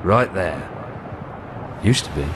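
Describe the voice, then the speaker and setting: An actor playing a roguish, wry pirate captain in a video game, with a sardonic, theatrical delivery. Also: male voice, low-pitched, lively intonation, slow, background noise. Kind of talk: scripted video game cutscene acting.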